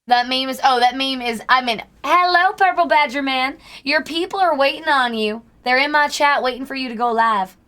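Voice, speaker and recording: Streamer, female, hoarse, slightly higher voice, faking a Southern drawl, chatty with viewers. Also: moderate pace, few pauses, bright, forceful tone. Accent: put-on Southern US. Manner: chatty, greets and thanks chat by name. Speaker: livestreamer. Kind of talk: casual livestream chat with viewers.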